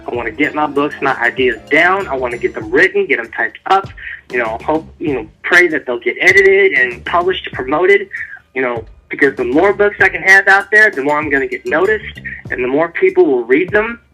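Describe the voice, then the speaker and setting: A man's voice: on the high side, expressive, moderate pace, sharp tone, soft background music, poor audio. A man speaking as an author guest in a casual interview, earnest and motivational.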